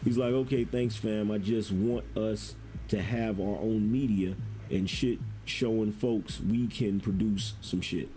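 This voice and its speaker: A man's voice: on the low side, fairly even intonation, a little slow, mellow, slight background noise. A man casually recounting conversations in the first person, informal and slangy with some profanity. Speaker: internet commentator.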